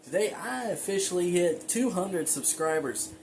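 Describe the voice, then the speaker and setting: A man hosting a casual home show-and-tell video, speaking informally and a little haltingly with enthusiastic asides. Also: sits high, lively intonation, vocal fry, a little hiss or hum. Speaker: hobbyist video creator and host. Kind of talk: casual show-and-tell narration of a radio collection. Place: indoors at home.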